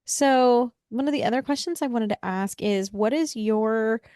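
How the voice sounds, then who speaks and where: A woman's voice: fairly high pitch, a little slow, mellow, clear, resonant tone, no room sound, clean studio sound. A woman hosting a podcast interview, asking friendly, encouraging questions with frequent fillers. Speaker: podcast host.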